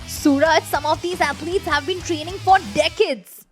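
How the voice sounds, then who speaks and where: A woman with an Indian English accent co-presenting a fitness race with brisk, clear delivery. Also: extremely high pitch, steady tone, soft background music. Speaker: race commentator. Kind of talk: scripted sports commentary.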